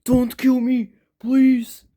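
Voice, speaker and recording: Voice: high-pitched, completely flat delivery, slow, dark tone, voice pushed up. A performer voicing a terrified figure in a fan-made animation, frantic and stammering as it pleads for its life. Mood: panicked begging.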